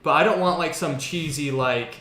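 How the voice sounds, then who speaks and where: A man with a slightly high voice hosts a casual gaming podcast. He talks in a halting, rephrasing way, building his point as he goes. The room adds a clear echo around his words. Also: sharp tone. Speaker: podcast host. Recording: roomy, echoey podcast room.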